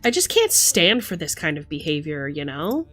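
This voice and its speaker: A woman's voice: low-pitched, sing-song, moderate pace, fairly bright tone, soft background music. A woman hosting a livestream, talking casually and emphatically with plenty of swearing as she rants and chats with commenters. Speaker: livestream host.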